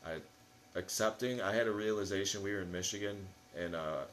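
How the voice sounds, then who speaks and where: A man's voice: lowish voice, brisk, a bit bright, slight background noise. A man in his late twenties chatting casually as a podcast guest, rambling along in slangy, profane language. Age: late 20s. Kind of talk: casual chat.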